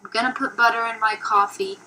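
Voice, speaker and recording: A young woman narrating a casual food vlog in a flat, low-energy way, with many ums. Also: fast, a little hiss or hum, rough recording. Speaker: YouTuber. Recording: old video played from a phone.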